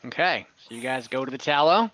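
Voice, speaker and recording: A man running an online tabletop game as game master, rambling in a relaxed way and laughing easily. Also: medium pitch, brisk. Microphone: home mic on video call. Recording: dull dry lo-fi audio.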